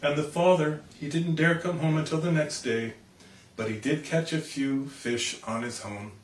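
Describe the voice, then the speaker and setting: A man reading a children's storybook aloud as an animated narrator. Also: on the high side, moderate pace. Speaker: storybook reader. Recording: roomy, distant microphone.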